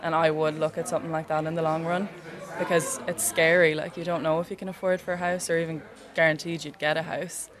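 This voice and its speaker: A woman's voice: low voice, level tone, a little fast, crowd noise. A young Irish woman, a student, talking earnestly and chattily about living costs. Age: young adult. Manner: earnest, chatty, uses "like" often. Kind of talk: casual interview comments.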